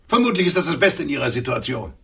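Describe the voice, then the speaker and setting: A man's voice: mid-range voice, very animated, fast, gravelly, sharp tone, breathy edge, exaggerated voice. An actor in a radio drama playing a stiff, self-important middle-aged businessman with stilted, formal speech. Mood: irritated, brusque. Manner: stilted, pompous formal courtesy, turning brusque.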